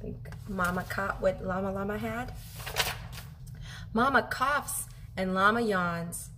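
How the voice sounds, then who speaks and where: A woman in her thirties reading a children's picture book aloud as a teacher, animated and expressive, drawing out her phrases for young listeners. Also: mid-range voice, slow, background noise. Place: home kitchen, indoors.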